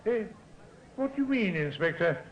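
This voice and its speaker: An actor playing a flustered, blustering upper-class British colonel who stammers and repeats himself in a 1950s radio drama. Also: male voice, high voice, sing-song, normal speed. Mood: shocked, indignant. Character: flustered upper-class English colonel, indignant car-theft victim. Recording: muffled, hissy 1950s radio recording.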